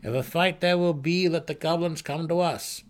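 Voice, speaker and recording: A man's voice: a little high, fairly even intonation, normal speed, pitched above the performer's own voice, lightly acted. A man voicing a commanding male gnome mining warden in a fantasy story reading, with emphatic, old-fashioned phrasing. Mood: stern. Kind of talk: fantasy audiobook character voice.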